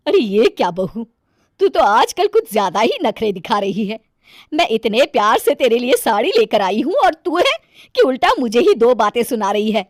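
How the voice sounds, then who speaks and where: A woman voicing a middle-aged mother-in-law in an animated moral story, expressive and theatrical. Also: fairly high pitch, moderate pace, no room sound, studio quality. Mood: indignant. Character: middle-aged city mother-in-law, affectionate but easily exasperated.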